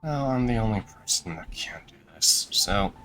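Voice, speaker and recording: A man's voice: fairly low pitch, expressive, normal speed, a bit bright, soft background music. A man streaming a game live, dropping short, casual reactions.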